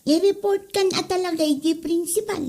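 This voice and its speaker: A woman's voice: very high voice, fairly even intonation, moderate pace, dark tone. A woman playing an indignant young tattletale schoolchild in a comedy audio drama, whiny, with a Northern Philippine accent.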